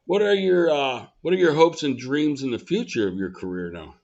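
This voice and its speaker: A man's voice: on the high side, lively intonation, normal speed, a little mellow. A man hosting a casual interview, giving short agreeing replies and asking loose, rambling questions. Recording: dull lo-fi recording.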